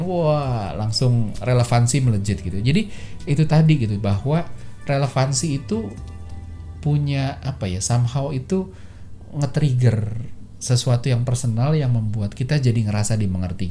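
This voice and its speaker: A man's voice: mid-range voice, dark tone. A man hosting a solo podcast, talking casually and chattily, with many fillers and quoted voices he acts out. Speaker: podcast host. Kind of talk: casual solo explainer talk.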